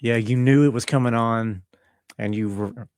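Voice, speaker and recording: Male interviewee, middle-aged, mid-range pitch, casual reflective chat, halting word-searching delivery, dry recording. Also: fairly even intonation, darkish tone, clean tone, no echo. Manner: halting, thoughtful, searching for words. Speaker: interview guest, composer and audio engineer.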